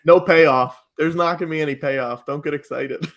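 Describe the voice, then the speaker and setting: A man talking casually as a podcast guest, in a joking, animated way with chuckles slipping into his speech. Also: fairly high pitch, normal speed. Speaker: podcast guest and live improv comedy performer.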